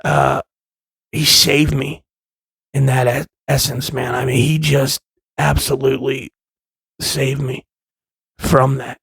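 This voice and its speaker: Gravelly, husky man ranting. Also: mid-range voice, slow, pauses often, dry recording. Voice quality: loud voice. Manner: rambling, profane, impassioned, with many restarts. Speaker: vlogger, father. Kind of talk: casual monologue to camera.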